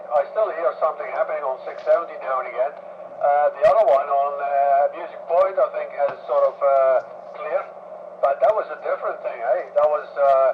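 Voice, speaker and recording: A man talks casually and hesitantly as an amateur operator over two-way radio from a car. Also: on the high side. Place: in a moving car. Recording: muffled, noisy mobile two-way radio.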